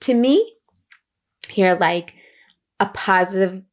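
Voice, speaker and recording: A woman's voice: mid-range voice, expressive, takes it slowly. Supportive woman hosting a chat. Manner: supportive listener, frequent short affirmations. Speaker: podcast host. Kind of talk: casual conversation.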